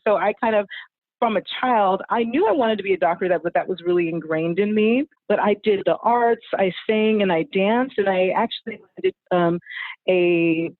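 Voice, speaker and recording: A woman's voice: medium pitch, no room sound. A woman in her forties, a family physician, telling her story as a podcast guest, rambling with fillers and laughing often. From United States (California). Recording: tinny phone-like line, dry.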